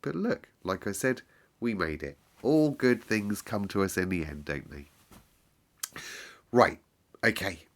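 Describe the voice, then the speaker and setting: A man's voice: lowish voice, lively intonation, unhurried, pauses often. A man hosting story time in casual, playful chat, a little breathless with a chesty cold. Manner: chatty, self-deprecating, playful repetition. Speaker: storyteller and channel host.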